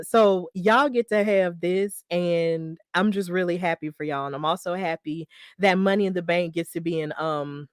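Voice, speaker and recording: A woman's voice: fairly low pitch, no room sound, clean studio sound. A woman hosting a solo wrestling news podcast, talking in a chatty, upbeat way with lots of fillers and self-corrections. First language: English. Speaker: podcast host.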